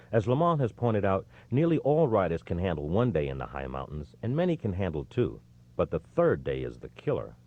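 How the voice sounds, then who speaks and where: A man with a low-pitched voice narrates a nonfiction audiobook from a script. His intonation moves widely and expressively from phrase to phrase. The recording is somewhat dull and lo-fi.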